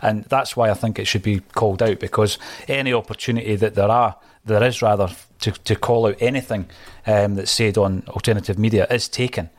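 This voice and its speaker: Podcast host, lowish voice, forceful opinions. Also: male voice, steady tone, fairly quick. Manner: emphatic, opinionated. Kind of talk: casual chat.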